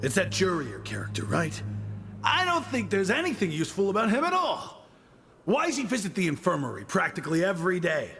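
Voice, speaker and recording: A man's voice: sits high, huge pitch swings, fairly quick, fairly bright tone, breathy edge, exaggerated voice. A man playing a gossipy, cynical prison cook who boasts and scorns in a conspiratorial, opinionated way in a video game. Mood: scornful. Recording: game music behind the voice.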